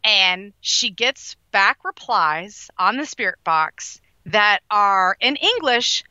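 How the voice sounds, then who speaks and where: Bright chatty woman podcast host. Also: medium pitch, lively intonation, slow, dry recording, highs cut off. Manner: chatty, laughs often, enthusiastic. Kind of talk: casual chat about paranormal shows.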